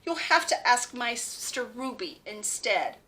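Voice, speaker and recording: A woman's voice: a little high, sing-song, a little slow, sharp tone, heavily acted. A woman doing a sick, groaning reindeer. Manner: groaning, weary.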